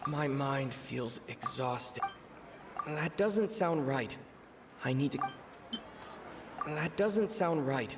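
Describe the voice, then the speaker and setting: A man's voice: mid-range voice, expressive, very slow, long pauses, background noise. A man playing a pensive, weary traveler thinking to himself in a video game inner monologue, with a reverb effect. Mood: pensive, troubled. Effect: long reverb. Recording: long reverb, music behind.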